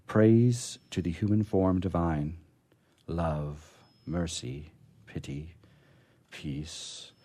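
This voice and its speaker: A man reading poetry aloud in a measured way and commenting on it casually, as on a radio program. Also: deep voice, darkish tone.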